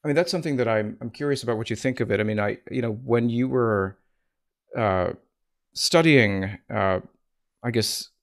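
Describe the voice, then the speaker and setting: A man hosting a podcast interview, asking questions in a casual, halting, tentative way. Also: mid-range voice.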